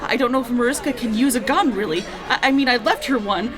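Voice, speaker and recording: A woman's voice: on the high side, quick pace, natural voice. Woman acting an anxious wife amid party noise. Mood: wistful, worried. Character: anxious young mother and wife in troubled city. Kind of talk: radio drama acting. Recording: music and party crowd behind.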